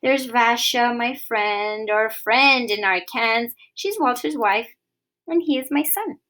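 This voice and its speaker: A woman voicing a kind, lonely old woman who talks softly and fondly to a stray cat in a storybook. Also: on the high side, animated, slow, exaggerated voice.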